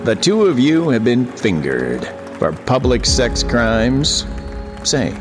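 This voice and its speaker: A man's voice: mid-range voice, huge pitch swings, slow, music in the background. A man playing a hard-boiled, pompous police officer in a comic noir radio drama, formal and officious.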